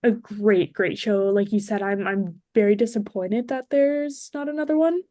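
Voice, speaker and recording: A woman's voice: medium pitch, normal speed, dark tone, no echo, studio quality. Woman guest in casual podcast chat.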